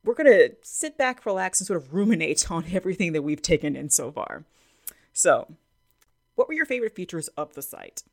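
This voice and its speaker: Low-voiced woman hosting a podcast, dry sound. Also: big pitch swings, a little fast, no room sound. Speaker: podcast host leading the discussion. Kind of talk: casual podcast chat.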